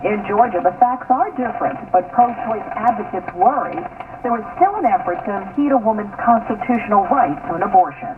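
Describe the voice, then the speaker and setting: A woman's voice: medium pitch, animated, fairly quick, recorded from a distance. A woman news anchor reading scripted radio news. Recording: tinny, noisy AM radio aircheck.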